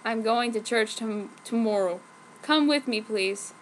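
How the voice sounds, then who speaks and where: A woman's voice: fairly high pitch, unhurried, close to an everyday voice. A woman voicing a brooding, sorrowful teenage vampire boyfriend with an earnest, mock-tragic delivery in a fan fiction reading. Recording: clearly noisy home recording.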